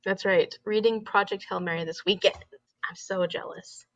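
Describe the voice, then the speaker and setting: A woman's voice: medium pitch, steady tone, moderate pace, fairly bright tone, no echo. A woman with a nasal voice, hosting a reading stream and chatting casually with a friend, laughing often and hunting for words. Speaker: host of a reading livestream. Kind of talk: casual chat between friends. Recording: dull, muffled audio.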